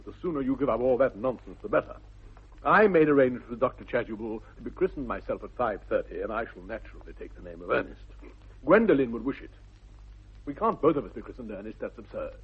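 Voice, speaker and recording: Huffy, rapid-talking upper-class English gentleman. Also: mid-range voice, breathy edge. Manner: huffy, clipped, earnest. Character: exasperated, indignant, proper young English gentleman. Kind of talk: 1960s radio stage-comedy acting. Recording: muffled narrow-band vintage radio recording.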